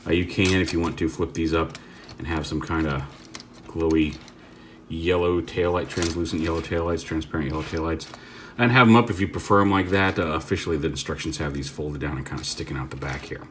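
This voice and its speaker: A man's voice: rumbling low, brisk, a little hiss or hum. A man giving a casual hands-on toy review, rambling and stopping to correct himself as he handles the figure. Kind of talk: casual hands-on product demo.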